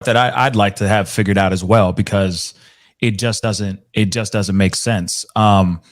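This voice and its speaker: A man hosting a casual, unscripted interview, phrasing his thoughts in a halting, tentative way. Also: lowish voice, fairly even intonation. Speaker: show host interviewing a guest.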